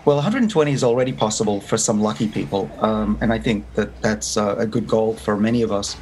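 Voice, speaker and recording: A man's voice: on the low side, quick pace, a little mellow, faint music behind. A man in his fifties with an Australian accent, explaining his research as an interview guest, earnest and full of restarts. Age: 50s. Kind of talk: remote interview. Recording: faint background noise.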